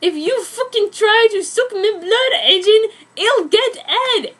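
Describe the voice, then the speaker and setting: A woman plays a melodramatic teenage girl in a storybook-style vampire fanfic reading. The voice is very high, overwrought and exclamatory, full of self-absorbed drama. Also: expressive, slow pace, exaggerated voice. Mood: angry threat.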